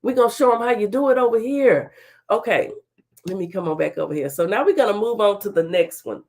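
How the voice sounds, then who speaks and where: A middle-aged woman with a blocked, stuffy voice runs her home-studio talk show. She reads formal court orders aloud at a steady, ordinary pitch and breaks in with sassy, chatty remarks of her own. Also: brisk. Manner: chatty, sassy asides between formal reading. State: stuffy, under the weather. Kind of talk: reading court documents aloud with commentary. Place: home studio with virtual background.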